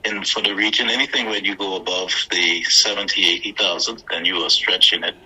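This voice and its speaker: A man's voice: low voice, fairly even intonation, fairly quick, sharp tone, slightly rough, rough recording. A man giving unscripted interview answers as an executive, hesitant and often repeating his words. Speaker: airport authority chief executive being interviewed.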